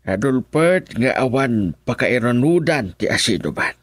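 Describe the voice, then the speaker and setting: A man's voice: mid-range voice, animated, measured pace, dry recording, studio quality. An actor playing an earnest homeless man seeking his kin in an Ilocano radio drama, with an Ilocano Filipino accent and a confiding manner. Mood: confessing.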